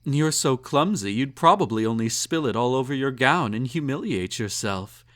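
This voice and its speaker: A man's voice: medium pitch, lively intonation, normal speed, close to an everyday voice. A man playing a snobbish, possessive emperor in an intimate roleplay audio drama, with pompous, condescending, teasing speech and ornate formal phrasing. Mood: doting, controlling. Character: snobbish, possessive male emperor, indulgent and slightly tipsy.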